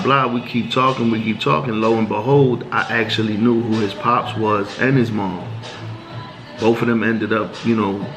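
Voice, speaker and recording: A man tells a story in a relaxed, slangy way. He speaks at an ordinary pitch for a man and acts out bits of dialogue as he goes. Music plays clearly underneath. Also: low-quality recording. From New York. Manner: laid-back street slang, acts out quoted dialogue. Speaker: channel host telling prison stories. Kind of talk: casual storytelling monologue.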